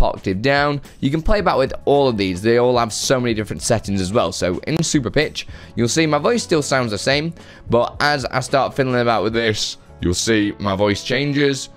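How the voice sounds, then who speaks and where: A man in his thirties hosting a casual, chatty software tutorial at a close desk microphone indoors. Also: medium pitch. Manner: chatty, informal. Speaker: tutorial host. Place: home room with guitars, indoors. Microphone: close desk microphone on boom arm.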